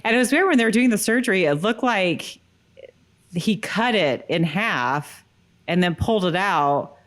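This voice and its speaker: A woman's voice: mid-range voice, animated, normal speed. A woman co-hosting a podcast, moving between casual chat and reading a written recap. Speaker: podcast co-host.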